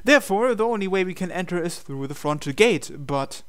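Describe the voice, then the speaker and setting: A man's voice: sits high, animated, brisk, clear, resonant tone. A man hosting a visual novel playthrough, reading the narration aloud dramatically and adding his own commentary. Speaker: host reading a game aloud.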